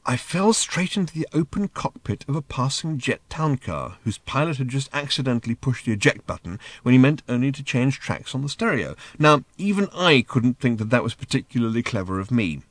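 A brash alien man played by a male reader in a comic sci-fi audiobook, vain and self-important. He is snappy and insistent, with lively swings of pitch. The recording is dry and close. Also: brisk, few pauses, no echo. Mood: matter-of-fact. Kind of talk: dramatized audiobook of comic science fiction.

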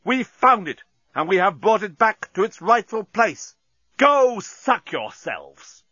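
A man voicing a proud, gallant, indignant alien commander in a loud, declamatory audiobook character voice. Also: high voice, big pitch swings, slow pace, no echo, muffled recording, pitched above the performer's own voice, exaggerated voice. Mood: indignant, defiant. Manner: loud, declamatory, impassioned speechifying.

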